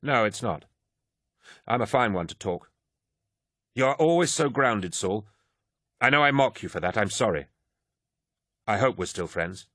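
A man's voice: on the low side, lively intonation, measured pace, pauses often, fairly bright tone, no room sound, studio quality. A man voicing a proud young warrior with an earnest, solemn and slightly childlike tone.

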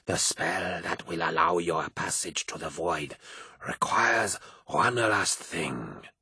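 A man voicing an old female cult priestess, speaking slowly in a breathy, cryptic, menacing hush. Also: dry recording, clean studio sound, exaggerated voice. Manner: slow, low and cryptic. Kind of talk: audiobook character voice.

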